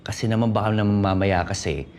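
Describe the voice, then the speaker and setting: An actor playing a caring, playful young boyfriend in a romantic drama, coaxing in casual Taglish with a Filipino accent. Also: male voice, lowish voice, steady tone, fast talker, darkish tone, a little hiss or hum, natural voice. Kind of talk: naturalistic romantic TV drama acting.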